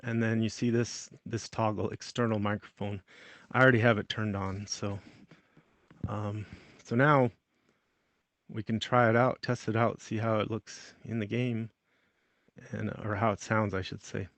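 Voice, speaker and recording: A man's voice: on the low side, level tone, measured pace, fairly bright tone, no echo. A man hosting a casual tutorial, somewhat hesitant as he thinks aloud. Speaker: tutorial host.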